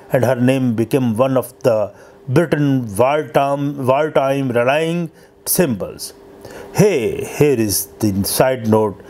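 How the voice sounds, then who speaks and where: A man reading a scripted history narration as host, in a halting, stumbling way. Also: mid-range voice, mellow.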